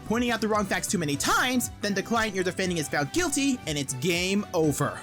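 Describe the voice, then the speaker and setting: Video essay host, mid-pitched voice, brisk pace, wry scripted narration, music behind. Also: expressive, fairly bright tone. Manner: wry, irreverent. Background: background music.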